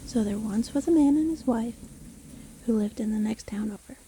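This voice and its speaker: A woman playing a seemingly kindly, sly witch who lives alone in a forest, speaking gently and unhurriedly in a fantasy audio roleplay. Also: fairly high pitch, moderate pace, a little hiss or hum, close to an everyday voice, low-quality recording. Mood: hushed storytelling. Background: rain storm ambience.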